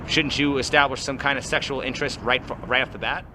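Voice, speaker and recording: A man asks rapid, probing questions of passers-by out on a city street. He pushes each answer with a follow-up. Clear traffic and street noise run behind his voice. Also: mid-range voice, fairly even intonation. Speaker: street interviewer.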